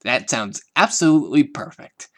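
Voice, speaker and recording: A man's voice: medium pitch, lively intonation, moderate pace, fairly bright tone, close to an everyday voice. A man voicing a refined, theatrical teenage girl who sighs dramatically, in a fan-made audio reading. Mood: put-upon. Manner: prim, theatrical sighing.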